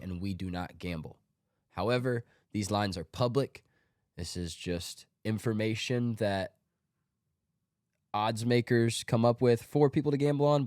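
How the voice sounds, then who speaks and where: A man's voice: on the low side, a little mellow, no room sound, clean studio sound. A man hosting a sports podcast, a little congested from a cold, running through his picks in an offhand, joking way. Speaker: podcast host. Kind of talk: casual sports podcast monologue.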